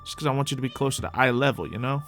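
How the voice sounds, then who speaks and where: A man's voice: medium pitch, racing, a bit bright, faint music behind. A man giving casual, improvised roleplay game commentary, chatting and thinking aloud as host of a gaming video.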